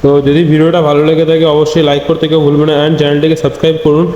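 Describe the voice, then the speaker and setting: A man's voice: fairly high pitch, monotone, rapid-fire, dark tone. A man hosts a casual phone demonstration, talking directly to his viewers. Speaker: tech tutorial host. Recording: dull lo-fi sound with background noise.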